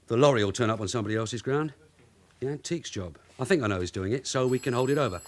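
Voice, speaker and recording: An actor playing a gruff, streetwise London detective sergeant in a radio drama, blunt, sardonic and commanding. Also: male voice, medium pitch, slight background noise, natural voice.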